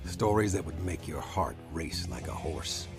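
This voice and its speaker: An actor playing a grim, defiant American football player, narrating a game story in a brooding, hard-boiled way. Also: male voice, rumbling low, animated, unhurried, over music, exaggerated voice. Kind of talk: scripted noir-like game narration.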